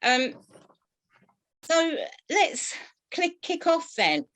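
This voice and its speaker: A woman hosting a casual podcast chat as a tutor, introducing her guests in an unhurried way with frequent fillers. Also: very high voice, very animated, a bit bright. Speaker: host and tutor.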